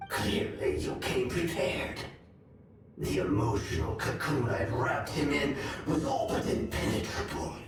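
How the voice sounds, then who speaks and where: Sinister gloating game-villain spirit, slow and theatrical, drenched in cavernous echo. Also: male voice, medium pitch, very animated. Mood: gloating menace. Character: sinister male nightmare spirit, scheming arch-villain, gloating. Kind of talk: video game voice acting. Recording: heavy echo, distant, loud game music.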